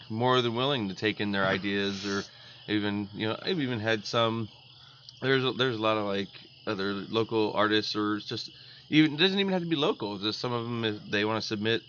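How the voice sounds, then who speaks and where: An American man co-hosting a podcast in casual chat, halting, repeating himself and trailing off. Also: on the low side, pushed and bright. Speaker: podcast co-host. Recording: dull lo-fi audio, noise, insect-like ambience.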